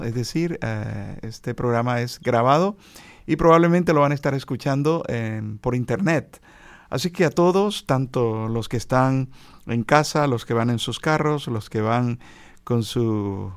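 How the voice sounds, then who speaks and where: Radio host guy, mid-pitched, rambling friendly welcome, dry close sound. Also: clean tone, no room sound. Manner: friendly, rambling greetings with fillers. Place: radio studio.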